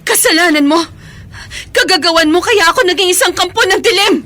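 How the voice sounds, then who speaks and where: An anguished young woman cursed as an aswang. Her voice is very high and tearful, pushed into melodrama for horror radio. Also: a little fast, bright, forceful tone. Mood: furious, sobbing. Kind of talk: Philippine horror radio drama acting.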